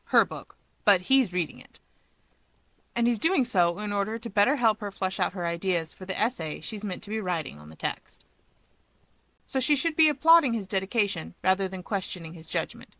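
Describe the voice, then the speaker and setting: A woman hosting and narrating fan fiction in an expressive scripted reading style. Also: mid-range voice, dry recording. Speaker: channel host and story narrator.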